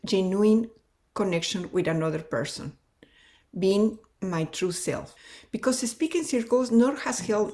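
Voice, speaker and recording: A woman's voice: medium pitch, a little mellow. A woman whose first language is Spanish, a consultant giving a personal testimonial with non-native phrasing.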